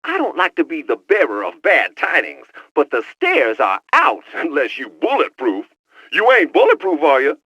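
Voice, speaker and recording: A man's voice: on the high side, wildly swooping pitch, moderate pace, sharp tone, no echo, heavily acted. A man playing a cocky, wisecracking young male hacker in a video game, with a sassy, slangy, teasing way of talking. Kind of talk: video game cut-scene acting.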